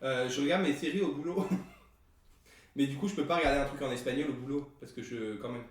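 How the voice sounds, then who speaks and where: A man's voice: medium pitch, a little fast. Joking man, very echoey distant sound. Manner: joking, laughs often. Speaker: podcast co-host. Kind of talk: casual chat. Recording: very echoey room, distant mic.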